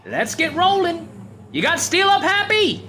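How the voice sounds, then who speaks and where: A woman plays a hard-driving oil crew boss in an old-time radio drama. The voice is high and swings widely, with blunt, bossy slang and an impatient edge. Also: wildly swooping pitch, measured pace, pushed and bright, slight background noise, heavily acted.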